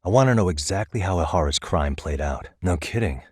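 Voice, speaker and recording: An actor playing a composed, probing private investigator in a video game, speaking in a measured, casually wry way. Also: male voice, deep voice, lively intonation, breathy edge, dry recording, studio quality. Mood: casual, businesslike. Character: composed, probing male private investigator, adult, dryly confident. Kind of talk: naturalistic game dialogue acting.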